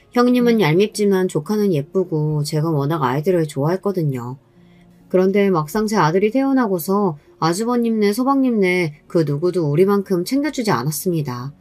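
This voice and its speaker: A woman's voice: medium pitch, darkish tone, no room sound. Dry-sounding woman narrating a story. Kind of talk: scripted first-person story narration.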